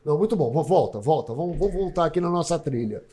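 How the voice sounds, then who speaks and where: A man's voice: fairly high pitch, lively intonation, fast talker, mellow. A man from Brazil hosting a podcast interview, chiming in to finish his guest's sentences. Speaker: podcast host. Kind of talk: casual interview.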